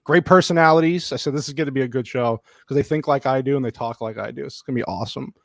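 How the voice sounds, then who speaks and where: Male host, casual chatty livestream delivery, relaxed pitch, dry close sound. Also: fast talker, creaky, no echo. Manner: loose, joking, lots of fillers.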